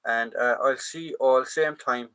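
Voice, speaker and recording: A man's voice: mid-range voice, unhurried, bright, forceful tone, smooth. An Irish man hosting a live chat, casually reading out and answering viewer comments, trailing off and restarting.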